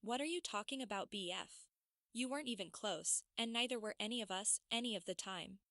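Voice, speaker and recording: A man voicing an exasperated young female werewolf, hushed and indignant as if scolding, in a fantasy audiobook. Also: sits high, brisk, dry recording, clean studio sound, voice pushed up.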